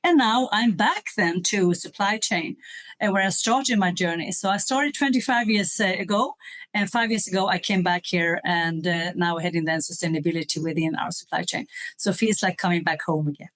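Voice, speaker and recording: A woman's voice: fairly low pitch, normal speed, fairly bright tone. A woman in her fifties, a corporate sustainability executive, talking through her career in an interview with frequent hesitations and fillers. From Sweden. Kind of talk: interview conversation. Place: in Switzerland.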